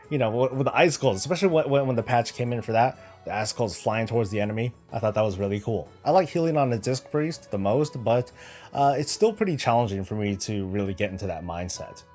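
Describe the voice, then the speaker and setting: Man, gaming host, casual chat, dry jokes, average pitch, faint music behind. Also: darkish tone. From United States, Northern California. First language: Filipino heritage, raised in the US. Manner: joking, sarcastic asides, candid. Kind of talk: casual chat answering viewer questions.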